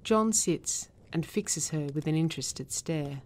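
A woman narrating a drama script, reading stage directions in a measured, matter-of-fact way. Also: low-pitched, a little mellow.

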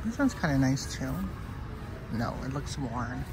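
Low slow-talking woman thrifting, chatty, phone cam in a big store, music behind. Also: big pitch swings, rough recording. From Canada. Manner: chatty, musing aloud while browsing. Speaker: vlogger. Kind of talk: casual thrift shopping commentary. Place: inside a large thrift store. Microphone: handheld phone camera. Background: background music.

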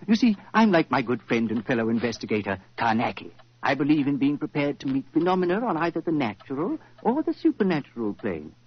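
A man acting an eager, theatrical gentleman investigator of the supernatural in a 1940s radio drama, earnest and dramatic in his telling. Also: mid-range voice, sing-song, brisk, slightly rough. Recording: muffled 1940s radio recording.

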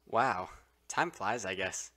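Man playing young monster barista, cartoon, lowish voice, casual, laid-back. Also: takes it slowly, bright, forceful tone, exaggerated voice. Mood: easygoing. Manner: casual, easygoing. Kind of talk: animated web series voice acting.

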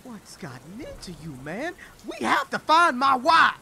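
A man plays a frantic, devoted husband in a horror audio drama. He is agitated and stammering, with lively swings of pitch, and rain noise sits behind him. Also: measured pace, creaky, heavily acted. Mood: alarmed. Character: frantic, pleading husband devoted to his wife. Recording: rainy storm noise behind.

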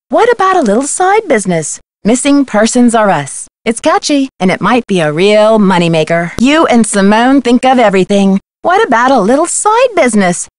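A woman playing a determined, sassy young amateur detective in a video game, with a brisk, pointed way of talking. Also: a little high, dry recording, studio quality, lightly acted. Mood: sarcastic. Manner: brisk, pointed, wry questioning.

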